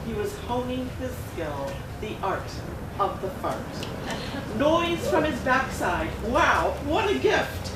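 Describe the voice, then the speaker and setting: Woman author, animated high read-aloud voice; distant, reverberant gallery recording with background noise. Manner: expressive rhyming delivery with bouncy emphasis. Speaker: children's book author. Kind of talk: dramatic picture-book read-aloud. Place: art gallery event room. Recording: echoey room, distant, noisy.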